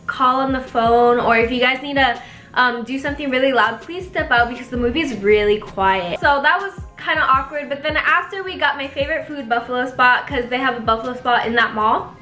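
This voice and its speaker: Young female YouTuber, bright tone, casual filler-heavy chat, echoey room with faint music. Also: high-pitched, a little fast. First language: Spanish. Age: young adult. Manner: chatty, filler-heavy, giggly asides.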